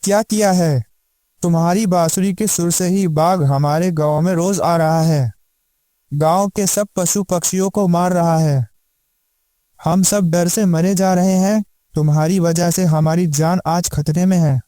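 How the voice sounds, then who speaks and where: A man's voice: sits high, fairly even intonation, measured pace, mellow, dry recording, clean studio sound. A man playing a worried, suspicious village man in a cartoon moral story, emphatic and questioning. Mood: accusing. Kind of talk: Hindi animated moral story acting.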